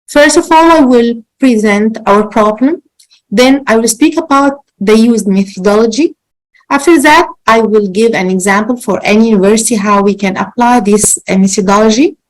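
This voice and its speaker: A woman's voice: a little high, rough recording. A woman with an Egyptian accent giving an academic presentation online, speaking carefully with halts and repeated words. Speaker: associate professor, presenter. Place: virtual conference call.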